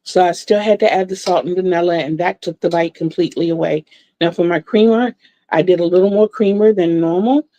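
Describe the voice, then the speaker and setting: A woman's voice: on the low side, dark tone, no room sound. A woman in her sixties chatting casually to viewers from home, laughing often and repeating words. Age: 60s. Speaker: livestream vlogger. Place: home room lit by a floor lamp.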